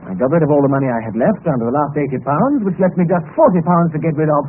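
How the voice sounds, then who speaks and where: Actor as quick-talking reckless young British gentleman. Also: fairly high pitch, lively intonation, lightly acted. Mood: rueful, flippant. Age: young adult. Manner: polite, formal, flippant about despair. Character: courteous, reckless, ruined young gentleman with bitter gaiety. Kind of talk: old-time radio drama acting. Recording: noisy, tinny vintage radio recording.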